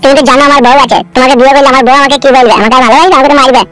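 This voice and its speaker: A man's voice: very high voice, fairly even intonation, very fast, music in the background. A man voicing a timid, naive, protesting married simpleton who frightens easily, in a comedy cartoon. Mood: anxious refusal. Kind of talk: amateur cartoon comedy dubbing.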